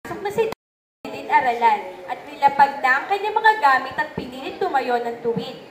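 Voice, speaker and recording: A woman's voice: high-pitched, lively intonation, normal speed, a crowd in the background. A young woman narrating a puppet show for children, reading a scripted story. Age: young adult. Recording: echoey room with background noise.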